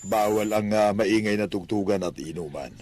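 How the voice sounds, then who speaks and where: Actor as a husky, low-voiced devout Filipino father. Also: moderate pace, a little mellow, no echo, natural voice. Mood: friendly, earnest. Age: middle-aged. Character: kindly, devout widowed father and boarding-house landlord. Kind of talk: radio drama acting.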